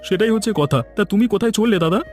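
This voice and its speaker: A man's voice: high voice, fast talker, faint music behind, natural voice. A man playing a friendly, proud village palm-sap tapper in a cartoon, with a folksy manner. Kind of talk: cartoon dialogue.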